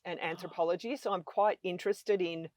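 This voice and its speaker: A woman's voice: fairly low pitch, fairly even intonation, fairly quick, a little mellow, dry recording. Chatty Australian woman in her fifties. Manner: chatty, enthusiastic storyteller. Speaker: travel vlogger guest. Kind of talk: casual conversation.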